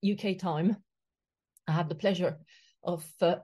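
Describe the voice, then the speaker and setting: Female interview host, lower register, halting, filler-heavy delivery. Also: steady tone, warm tone. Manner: hesitant, many fillers and restarts. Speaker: podcast host. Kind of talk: casual interview. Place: home in London.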